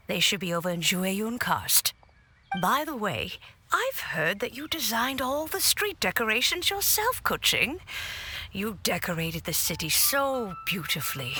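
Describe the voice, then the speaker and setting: An actress voicing a gently teasing, grandmotherly elderly woman in a game cutscene. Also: mid-range voice. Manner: warm, gently teasing, unhurried.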